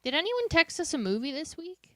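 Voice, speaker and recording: A woman co-hosting a podcast in casual chat, playful with quick interjections. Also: high voice, very animated, loud music behind the voice. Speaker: podcast co-host.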